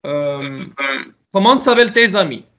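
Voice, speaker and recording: A man's voice: sits high, animated, unhurried, pushed and bright. A middle-aged man teaching a French class over a video call, calling on students and patiently correcting their answers. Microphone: laptop mic on a video call.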